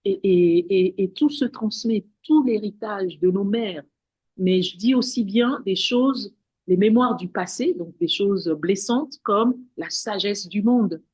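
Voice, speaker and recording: A woman's voice: mid-range voice, takes it slowly, darkish tone, breathy edge, no room sound, poor audio. Earnest woman with repetitive phrasing. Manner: earnest, repeats words and restarts often. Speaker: guest, women's wellness practitioner. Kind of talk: spiritual interview talk.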